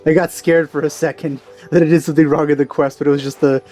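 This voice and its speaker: Mellow, casual let's play commentator. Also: mid-range voice, little pitch movement, fast talker. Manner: reacts aloud, muses to self. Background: faint game music.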